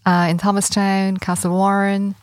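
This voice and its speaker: A woman in her late forties with an Irish accent, a festival organiser talking as a guest in a casual interview, with many pauses and fillers. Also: mid-range voice, level tone, no echo. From Ireland. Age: 40s. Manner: hesitant, many fillers and restarts. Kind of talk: conversational radio interview.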